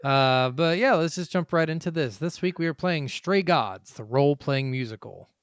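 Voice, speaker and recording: A man's voice: a little high, lively intonation, moderate pace, clear, resonant tone, no echo, clean studio sound. A man hosting a casual gaming podcast, chatting bluntly and swearing freely. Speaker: podcast host.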